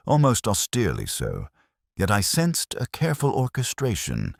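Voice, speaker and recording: A man playing a meticulous, reflective detective, narrating his mystery in measured, deliberate, formal literary phrasing. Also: rumbling low, no echo, studio quality, exaggerated voice. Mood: watchful, measured. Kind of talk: scripted first-person mystery narration.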